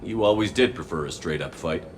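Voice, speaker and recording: A man's voice: low-pitched, fairly quick, a little hiss or hum, natural voice. A man acting a steadfast military commander in a video game, firm and restrained. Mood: resolute.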